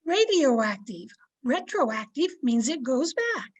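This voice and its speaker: A woman playing a patient, folksy, gently chiding wife in an old-time radio comedy. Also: high voice, sing-song, takes it slowly, slightly rough, exaggerated voice. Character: patient, sensible wife gently correcting her blustering husband.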